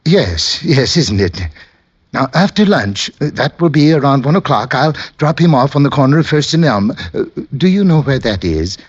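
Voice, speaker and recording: An actor playing a nervous, fussy, polite client who hesitates and stammers, in an old-time radio drama. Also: male voice, mid-range voice, very animated, moderate pace, slightly rough. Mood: fussy, pleased. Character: timid, nervous, fussy male client, earnest. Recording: dull, lo-fi vintage radio recording.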